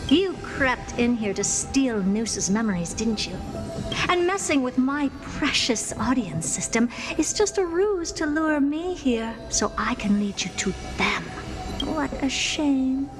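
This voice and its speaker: A woman voicing a haughty, smug genius scholar in a video game, speaking in a pompous, mocking, theatrical manner. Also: sits high. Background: music.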